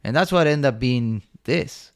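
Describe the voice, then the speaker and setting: A man's voice: medium pitch, expressive, measured pace. A man from Argentina, a company co-founder, eagerly telling his story in a rambling way with many fillers. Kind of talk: casual storytelling in an interview.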